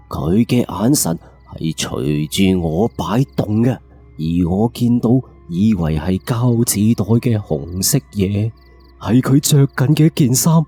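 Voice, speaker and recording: A man's voice: on the low side, dark tone, slightly rough. A man with a Cantonese accent narrating a first-person ghost story in a colloquial, suspenseful late-night radio style.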